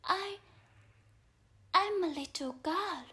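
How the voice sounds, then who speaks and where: An earnest little storybook girl, voiced by a woman, speaks high and unhurriedly. She is polite and truthful, puzzled and a touch hesitant. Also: takes it slowly, bright, forceful tone, exaggerated voice. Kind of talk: storybook character voice.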